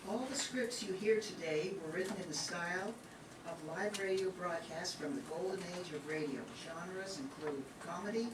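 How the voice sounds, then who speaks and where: Woman co-emcee, lower voice, soft even reading, noise in the room. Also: moderate pace. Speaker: master of ceremonies. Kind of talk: scripted stage introduction. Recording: echoey room from far off with noise.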